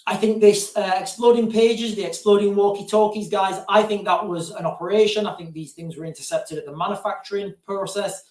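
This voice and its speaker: A man's voice: high-pitched, fairly even intonation, brisk, hardly any pauses, darkish tone. A man hosting a live stream, chatting casually and rambling off on tangents while calling his viewers "guys". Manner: digressive, keeps addressing viewers as guys. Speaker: live-stream host answering viewer questions. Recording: roomy sound, distant from mic.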